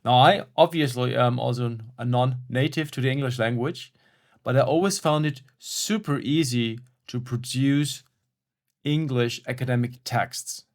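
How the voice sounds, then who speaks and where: A man's voice: mid-range voice, a little slow. Reflective German-accented man. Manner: reflective, frank, with fillers and restatements. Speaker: academic writing coach. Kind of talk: casual explanatory talk.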